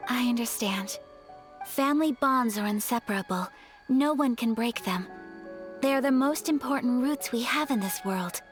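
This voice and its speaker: A woman playing a gentle, refined young noblewoman in a video game, speaking softly with earnest, poised affection. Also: a little high, moderate pace, soft background music, natural voice. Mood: earnest, tender. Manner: soft, poised, heartfelt delivery. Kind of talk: video game voice acting, sincere and tender.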